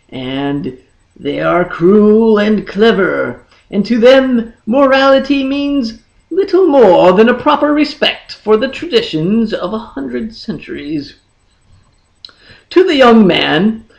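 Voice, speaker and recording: A storyteller reading a fantasy tale in a dramatic, deliberate manner with lingering pauses. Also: mid-range voice, vocal fry, muffled recording. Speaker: audiobook reader. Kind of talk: dramatic scripted fantasy narration.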